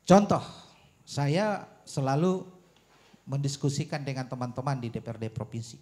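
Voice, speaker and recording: A man speaking as a panelist at a public forum, arguing a policy point in deliberate, emphatic phrases with rhetorical questions. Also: medium pitch, expressive, darkish tone. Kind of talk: argumentative forum speech on policy.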